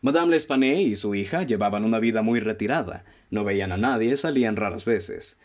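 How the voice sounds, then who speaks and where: Man voicing a calm radio-play detective, low voice, measured lecturing delivery, dull lo-fi sound. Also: close to an everyday voice. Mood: probing. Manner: measured, lecturing, poses pointed questions. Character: calm, methodical male detective laying out deductions. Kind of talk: radio drama acting.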